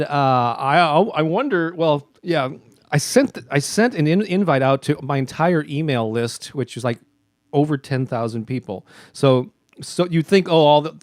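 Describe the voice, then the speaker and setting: A man's voice: a little high, darkish tone, no room sound, clean studio sound. A man hosting a casual livestream, greeting viewers by name and laughing often. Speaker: host.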